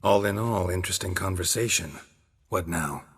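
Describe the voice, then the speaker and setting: An actor playing a gruff, stoic monster hunter in a video game, speaking in a terse, wry deadpan. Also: male voice, sits low, normal speed, big-room sound. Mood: dry, guarded.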